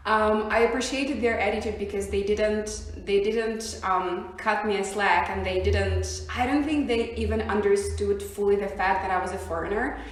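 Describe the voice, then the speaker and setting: A woman's voice: mid-range voice, fairly even intonation, moderate pace, hardly any pauses. A young woman from Siberia with a Russian accent, chatting as a podcast guest, hesitant with many ums and frequent laughs. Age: young adult. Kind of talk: casual interview chat. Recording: very echoey room.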